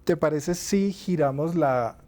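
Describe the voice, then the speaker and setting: A man interviewing a musician, asking friendly questions in a gentle, halting way. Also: a little high.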